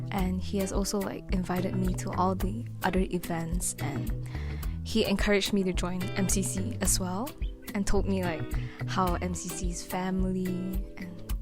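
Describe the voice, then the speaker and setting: A young woman talks in a friendly, casual way at a middle pitch. Guitar music plays clearly behind her. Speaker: student friend. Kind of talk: documentary interview.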